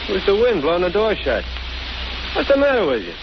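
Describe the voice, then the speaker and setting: A man's voice: sits high, huge pitch swings, fairly quick, full character voice. An actor playing a suspicious, slangy American henchman in 1930s radio style, speaking ungrammatically. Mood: wary, grumbling. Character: slangy, suspicious male henchman, rough working crook. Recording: muffled, hissy 1930s radio recording.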